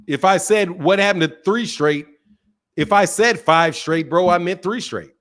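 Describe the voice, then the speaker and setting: A man with a fairly high-pitched voice hosts a loose live-stream about boxing. He reads viewer comments aloud and answers them, talking in an animated way. He swears freely and repeats his points. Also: a little slow. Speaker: boxing talk show host reading chat. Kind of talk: casual live-stream chat.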